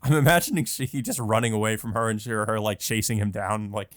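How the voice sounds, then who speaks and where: A man's voice: on the low side, steady tone, fast talker, no room sound, clean studio sound. A man hosting a let's play, reading a visual novel aloud with casual, amused, sometimes profane commentary.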